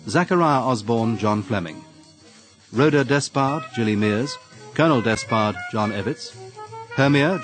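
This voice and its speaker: Formal British radio announcer over music. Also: male voice, mid-range voice. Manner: formal, measured. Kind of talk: scripted closing credits announcement.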